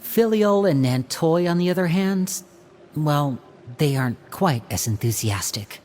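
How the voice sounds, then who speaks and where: An actor playing a composed, loyal young man in a video game, speaking in a measured, explanatory manner. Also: a little high, expressive, a little mellow, slight background noise. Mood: serious, measured.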